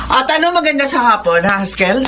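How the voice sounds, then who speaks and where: A woman's voice: sits high, big pitch swings, brisk, noisy background. An actress playing a domineering, scornful Filipino mother who scolds with mocking questions in a melodramatic radio drama. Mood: stern, suspicious.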